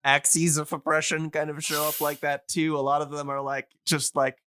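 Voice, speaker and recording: A man in a casual explanatory podcast chat, talking in a hesitant, halting way as he thinks aloud. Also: fairly high pitch, little pitch movement, sharp tone, a little hiss or hum.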